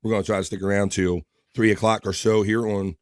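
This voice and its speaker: A hoarse man hosting a livestream, chatting casually and shouting out viewers' names in an upbeat way. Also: on the low side, little pitch movement, quick pace, no room sound, clean studio sound. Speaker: livestream host. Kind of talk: casual chat, reading chat messages.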